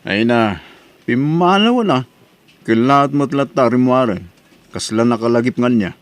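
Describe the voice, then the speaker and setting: A man's voice: mid-range voice, lively intonation, unhurried, no room sound, close to an everyday voice. A man with an Ilocano accent from the Philippines playing a money-minded, hesitant sidekick in a radio-style comedy drama. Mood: puzzled.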